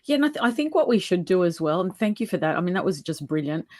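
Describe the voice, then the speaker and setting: A woman hosting a casual interview show, enthusiastic and quick to praise her guest. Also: on the low side, sing-song, darkish tone, dry recording, clean studio sound. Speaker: host.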